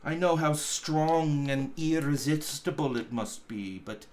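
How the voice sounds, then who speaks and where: A man voicing a gentle, sorrowful young woman in a storybook, speaking gravely and deliberately in halting phrases. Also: a little high, natural voice. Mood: resigned sorrow. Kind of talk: dramatic storybook reading.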